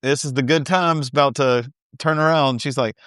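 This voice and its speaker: Smooth-voiced man, wry and easygoing. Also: medium pitch, fairly even intonation, moderate pace, fairly bright tone, no room sound, clean studio sound. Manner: easygoing, wry, laughs often. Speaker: podcast co-host. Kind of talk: casual recap chat.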